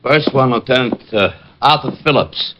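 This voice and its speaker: An actor playing a slow-witted police sergeant in an old radio drama who speaks in halting, bumbling fashion. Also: male voice, mid-range voice, a bit bright, close to an everyday voice. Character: dim, clumsy male police sergeant. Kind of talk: 1950s radio drama acting. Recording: dull lo-fi old radio recording with faint hiss.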